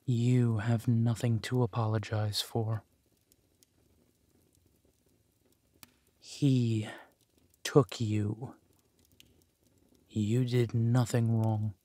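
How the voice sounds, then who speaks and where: Man playing a slow-talking possessive villain husband. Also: mid-range voice, little pitch movement, mellow, no room sound. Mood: tender, soothing. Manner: slow, soothing, darkly theatrical. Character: possessive, menacing villain husband, tender to spouse. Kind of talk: scripted roleplay audio drama.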